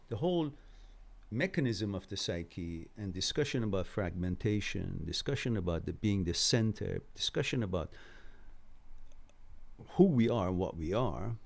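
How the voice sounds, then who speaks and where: A middle-aged man, a native speaker of Farsi, hosts a live Q&A in an informal lecturing style. His voice sits low and has a marked gravelly fry. He explains things haltingly, pausing as he goes. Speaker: host of a live mental health Q&A. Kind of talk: informal live lecture, answering viewer messages.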